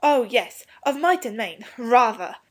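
A woman's voice: fairly high pitch, sing-song, a little slow, pitched above the performer's own voice. A woman voicing an eager young English schoolboy in a storybook, earnest and hasty, stumbling over his slangy words. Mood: hastily courteous. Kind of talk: storybook character dialogue.

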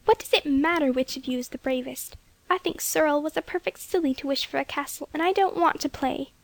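A woman voicing a timid, anxious little girl with a breathy, plaintive manner in a children's storybook. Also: high voice, fairly quick, no room sound, pitched above the performer's own voice. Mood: fretful.

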